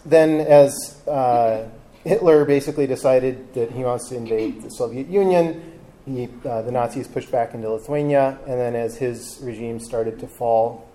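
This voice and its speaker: A man giving a casual live talk to an audience, relaxed and hesitant, with frequent ums. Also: medium pitch, low-quality recording. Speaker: travel talk presenter. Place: live talk before a seated audience with drinks.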